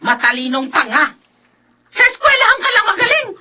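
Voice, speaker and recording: A woman's voice: very high voice, big pitch swings, moderate pace, sharp tone, slight background noise, exaggerated voice. A woman acting a spiteful, scolding grandmother in a Filipino radio drama, berating and melodramatic. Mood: angry, scolding. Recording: dull lo-fi broadcast, music underneath.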